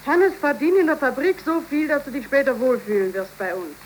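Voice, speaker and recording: Actress as sweet eager young seamstress, 1930s german radio play, old slightly noisy recording. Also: female voice, high-pitched, a little fast, close to an everyday voice. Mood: earnest, hopeful. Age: young adult. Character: cheerful, devoted young seamstress in love.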